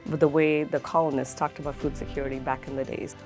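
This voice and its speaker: A woman with a very low voice who talks fast as a conference expert. She often restarts her phrases mid-thought, and faint music sits behind her. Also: level tone, darkish tone. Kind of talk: interview remarks.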